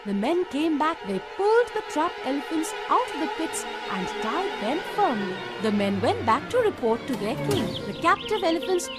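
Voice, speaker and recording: Casting: woman narrator for kids' bedtime tales, lively intonation, expressive scripted read over music. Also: high voice, normal speed, hardly any pauses. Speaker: children's story narrator.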